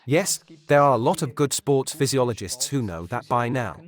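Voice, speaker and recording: Male interview guest, doctor, mid-pitched voice, firm and opinionated explanatory delivery. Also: expressive, moderate pace. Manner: assertive, opinionated.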